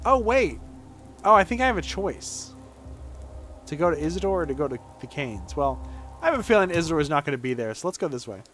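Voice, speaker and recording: A man's voice: fairly high pitch, very animated, fairly quick, fairly bright tone, soft background music. A man casually commentating as he streams a video game, chatting in a playful, exclaiming manner. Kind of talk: casual game commentary with chat and reading aloud.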